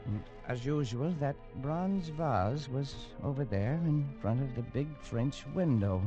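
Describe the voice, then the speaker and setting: A haunted, feverish man, played by a man on an old radio play, his voice swooping wildly between hushed dread and panicked shouts. His pitch is mid-range, with faint music under him. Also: lively intonation, measured pace, dull audio, natural voice. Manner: anxious, swinging into frantic shouting. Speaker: lead character. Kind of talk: 1940s radio drama acting.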